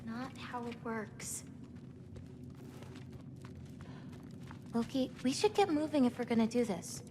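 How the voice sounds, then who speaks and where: An actress playing a cautious, caring teenage girl in a video game, speaking in a measured, earnest and determined way. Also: a little high, in a large hall, noisy background, lightly acted. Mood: urgent. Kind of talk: naturalistic video game acting.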